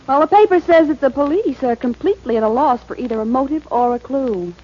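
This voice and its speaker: A knowing, playfully skeptical female companion to a detective. Her mid-pitched voice swings expressively as she teases. The sound is a dull 1940s radio broadcast with faint noise. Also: lively intonation, fast, dark tone. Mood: teasing, curious. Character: detective's knowing, teasing young lady companion. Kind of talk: 1940s radio drama acting.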